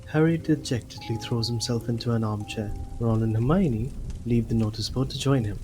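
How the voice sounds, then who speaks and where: A man narrating a scripted audio drama as a measured, descriptive storyteller. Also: mid-range voice, over music, close to an everyday voice.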